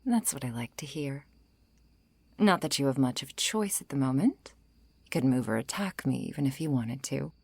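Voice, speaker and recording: A woman playing a seductive, menacing undead vampire in an intimate ASMR roleplay, coaxing and softly threatening, often slipping into whispers. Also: animated, moderate pace, dry recording, exaggerated voice. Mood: teasing, hungry. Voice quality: low, breathy, creaky.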